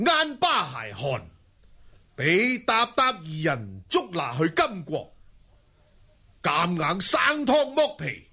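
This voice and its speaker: An actor playing a proud middle-aged Mongol ruler in a Cantonese radio drama, stately and emphatic. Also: male voice, high-pitched, pushed and bright, slightly rough, heavily acted.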